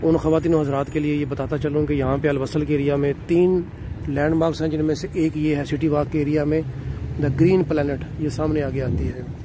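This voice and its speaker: Middle-aged guy, walking and filming on his phone at night, a bit high voice, street traffic loud behind him. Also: flat delivery, a little fast. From Pakistan. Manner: addresses viewers as "nazreen", leisurely describing sights while walking. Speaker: travel vlogger. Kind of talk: walking tour narration. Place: city streets at night, outdoors. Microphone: handheld phone camera. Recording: outdoor traffic noise.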